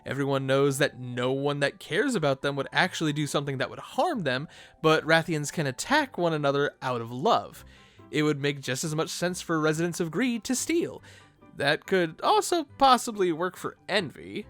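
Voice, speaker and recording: Animated, higher-pitched man narrating. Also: normal speed, faint music behind. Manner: playful, conversational asides. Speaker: narrator of fan theory video. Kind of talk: animated, informal video essay commentary.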